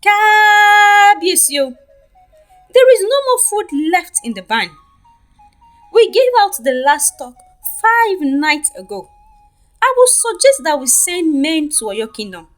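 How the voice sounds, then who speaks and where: A woman's voice: very high voice, sing-song, slow pace, fairly bright tone, faint music behind, pitched above the performer's own voice, heavily acted. A woman voicing an anxious, deferential male royal adviser in a folktale, earnestly counselling his king. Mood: earnest concern.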